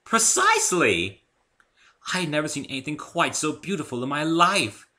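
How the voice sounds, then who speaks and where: A man's voice: fairly high pitch, very animated, pushed and bright, pitched above the performer's own voice, full character voice. Guy doing posh-mannered lady unicorn, dramatic, raised voice, swoopy and drawn out, storybook reading. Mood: proud, dramatic. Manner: genteel, dramatic, drawn-out emphasis. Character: refined, theatrical female unicorn fashion designer.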